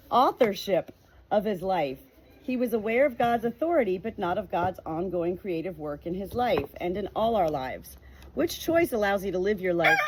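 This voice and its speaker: A woman's voice: medium pitch, very noisy. Earnest woman reading a faith book aloud. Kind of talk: reading a nonfiction book aloud with personal reflection.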